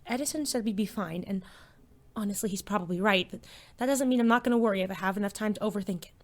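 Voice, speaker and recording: A woman's voice: medium pitch, fast, natural voice. A woman playing an anxious, self-doubting teenage student in an audio drama, with a hesitant, awkward, wry manner. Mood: worried, confiding. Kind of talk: audio drama acting with first-person narration.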